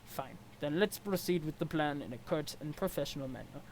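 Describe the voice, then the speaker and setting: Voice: medium pitch, close to an everyday voice. Someone acting out a snooty alien nobleman guy, stiff n wordy, easily flustered, fan dub w noise behind. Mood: curt, haughty. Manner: stiff, pedantic, halting, over-polite diction. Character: formal, haughty, sweaty, easily rattled male alien aristocrat. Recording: clear background noise.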